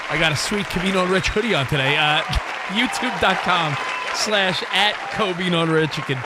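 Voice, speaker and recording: A man's voice: high-pitched, moderate pace, a loud crowd, heavy background noise. A man co-hosting a sports radio show, bantering playfully and throwing out guesses at his partner.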